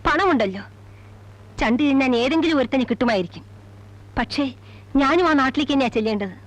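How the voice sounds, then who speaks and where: An actress playing a proud, sharp-tongued young woman in a film drama, scolding and disdainful, with an Indian Malayali accent. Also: high-pitched, expressive, fairly quick, low-quality recording. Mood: stern. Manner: scolding, disdainful, English words mixed in. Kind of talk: heated film drama dialogue.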